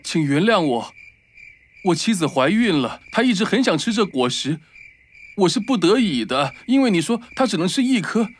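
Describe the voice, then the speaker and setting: A man's voice: high voice, sing-song, normal speed, soft background music. A man voicing a timid, devoted young husband in a children's fairy-tale cartoon, earnest and anxious in manner. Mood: frightened, pleading.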